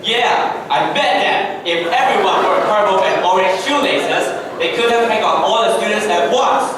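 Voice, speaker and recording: An earnest schoolboy played by a male reader talks in a high voice. The amateur stage reading is recorded from a distance in a very echoey room, with faint noise behind. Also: lively intonation, normal speed, a crowd in the background. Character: Mark, earnest schoolboy standing up to bullies.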